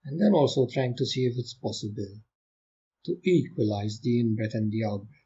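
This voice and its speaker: A man leading a guided meditation, giving gentle, calm instructions with long unhurried pauses. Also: medium pitch, husky, dull audio. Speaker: meditation teacher.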